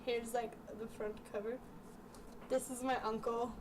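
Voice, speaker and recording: A woman's voice: on the high side, little pitch movement, unhurried. A young woman reading aloud in a casual, chatty way, breaking off into giggly asides. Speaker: amateur vlogger reading childhood books aloud. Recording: distant mic with clear background noise.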